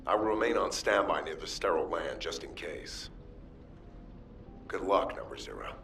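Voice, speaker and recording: An actor playing a steady, cautious male mission-support comrade in a video game, giving formal, precise briefings, in a distant echoing recording. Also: deep voice, moderate pace, creaky, soft background music, heavily acted. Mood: earnest, cautionary. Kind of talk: dramatic video game dialogue.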